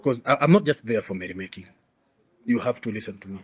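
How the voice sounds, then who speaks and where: A man with a Kenyan accent gives an expert interview in a dry, close recording. His pitch sits in the higher-middle range of ordinary male talk, and he explains his points firmly and emphatically. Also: animated, fast, breathy edge, dry recording. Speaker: interview guest, security and bodyguard expert.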